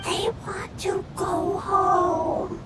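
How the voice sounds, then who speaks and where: Tiny, slow, squeaky creature. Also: female voice, level tone, heavy background noise, exaggerated voice. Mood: plaintive. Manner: halting short childlike phrases. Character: small, simple-minded, plaintive elemental creature. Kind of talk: scripted video game voice acting. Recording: loud game music under voice.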